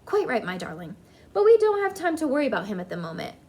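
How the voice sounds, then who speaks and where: A shrewd old grandmother from a storybook acted out by a woman. She is composed and firm, stressing her words deliberately in a fairly high, very lively voice. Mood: brisk.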